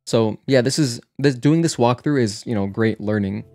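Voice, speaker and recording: A man's voice: mid-range voice, moderate pace, darkish tone, no room sound. Casual man livestreaming, music behind him. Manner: chatty, thinking aloud. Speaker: video game livestreamer. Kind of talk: casual gameplay commentary. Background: game music.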